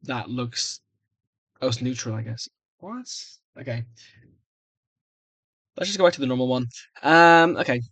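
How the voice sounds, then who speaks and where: A young adult man with a medium-pitched voice talks casually at his computer in a small, dry-sounding room. He rambles and hesitates, muttering his reactions to himself as he goes. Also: lively intonation, measured pace, pauses often, a bit bright, breathy edge, dry recording. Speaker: video creator. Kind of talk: casual screen-recorded software try-out commentary.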